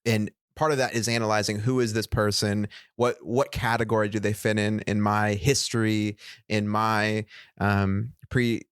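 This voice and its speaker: A low-pitched man muses on a faith podcast, sometimes pausing to search for the right word. The sound is dry and close, with no room echo. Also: flat delivery, smooth. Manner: reflective, searches for words, self-deprecating. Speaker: podcast co-host. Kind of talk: casual faith discussion.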